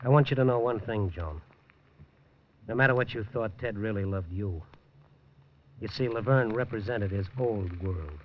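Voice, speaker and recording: An actor playing a world-weary newspaper reporter who narrates his own story in a hard-boiled, low-key and wry way, in 1950s radio drama style. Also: moderate pace, pauses often, a little hiss or hum, natural voice. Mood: somber. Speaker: first-person narrator and lead. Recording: dull lo-fi 1950s radio recording.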